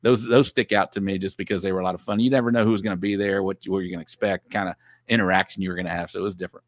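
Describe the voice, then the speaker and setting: A man's voice: low-pitched, fairly even intonation, rapid-fire, no room sound. A man from Texas chatting casually on a livestream from his home office, rambling with lots of restarts and easy laughs. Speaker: guest podcast host.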